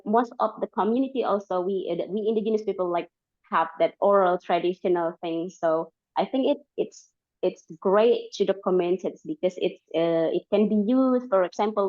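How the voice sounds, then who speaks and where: A woman from Borneo with an Indonesian accent, speaking English as a second language, talking in a halting way with many fillers and restarts as a community organizer. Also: medium pitch. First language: Dayak language. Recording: dull, lo-fi.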